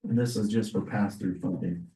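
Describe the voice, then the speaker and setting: A man losing his voice, presenting agenda items at a public meeting in a businesslike way, clearing his throat often. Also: fairly low pitch, fairly even intonation, normal speed, mellow. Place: county meeting room. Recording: echoey meeting room, distant mic.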